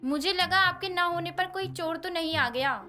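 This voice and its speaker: A woman voicing a brave, caring young tenant daughter-in-law in a storybook tale, over soft background music. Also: very high voice, moderate pace. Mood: flustered. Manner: eager, reassuring.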